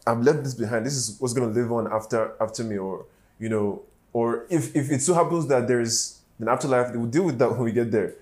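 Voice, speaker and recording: A young man hosting a casual conversation, relaxed and slangy, with frequent murmured agreement. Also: medium pitch, a little fast. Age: young adult. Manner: laid-back, slangy, lots of "mm" backchannels. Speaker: podcast host. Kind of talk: casual chat.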